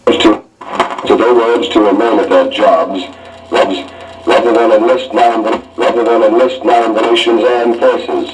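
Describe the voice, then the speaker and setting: An American man reading a scripted 1940s radio newscast in a formal, measured announcer delivery, on an old disc recording. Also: lowish voice, level tone. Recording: 1941 radio disc recording, distant sound.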